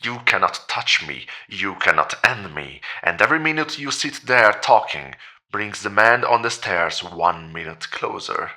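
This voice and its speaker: Man playing tense, low-voiced defiant priest. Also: expressive, a little slow, sharp tone, heavily acted. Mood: bitter, challenging. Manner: intense, confrontational. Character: tormented male priest, defiant, grieving, challenging a demon. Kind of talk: cosmic horror audio drama acting.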